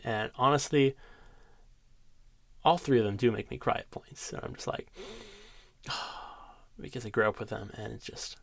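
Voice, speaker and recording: A young man in his twenties rambles happily about films he loves, laughing often and cursing casually. His voice sits at a middle pitch. The recording is dry and close, with no room sound. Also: no room sound. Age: 20s. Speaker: ASMR creator. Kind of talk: casual movie chat.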